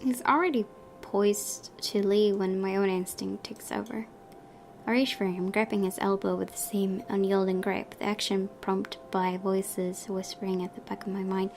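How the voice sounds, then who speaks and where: A woman's voice: mid-range voice, fairly even intonation, moderate pace, darkish tone, slight background noise. Young woman reading a visual novel aloud. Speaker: gaming video host.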